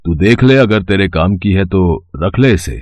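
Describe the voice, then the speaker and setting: A man's voice: sits low, animated, normal speed, darkish tone, no room sound. A man acting a weary, plain-spoken middle-aged scrap-dealer father, folksy and resigned, in a moral story. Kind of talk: acted dialogue for an animated moral story.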